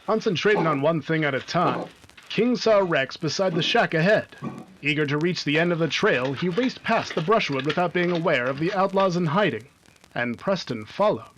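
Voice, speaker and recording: A man's voice: a little high, expressive, normal speed, a bit bright, a little hiss or hum, poor audio. A man playing the announcer of an old-time radio adventure, narrating with urgent, emphatic drama. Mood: suspenseful.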